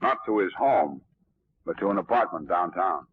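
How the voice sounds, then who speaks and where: A gruff, dismissive police chief in 1940s radio drama. His voice is very deep and rough-edged, with brusque phrasing. The recording sounds dull and aged. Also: lively intonation, normal speed. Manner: gruff, blunt. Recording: muffled old radio recording.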